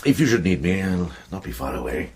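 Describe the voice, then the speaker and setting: A man playing a kindly, thoughtful older medieval monk in a radio drama, speaking in a measured, reflective way. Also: deep voice, expressive, a little mellow, a little hiss or hum. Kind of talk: BBC radio drama acting.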